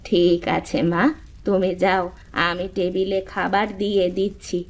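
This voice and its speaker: Woman acting gentle older cartoon village aunt. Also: mid-range voice, level tone, a little slow, lo-fi sound, lightly acted. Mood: gentle, obliging. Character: kindly, homely older village aunt who cooks.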